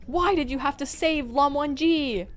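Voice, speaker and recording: A woman's voice: sits high, a little slow, faint music behind, voice pushed up. A woman voicing a hot-tempered young man full of grief and rage in a dramatic story reading. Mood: anguished rage. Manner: shouting, snarling, anguished repeated demands. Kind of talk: audiobook-style dramatic character reading.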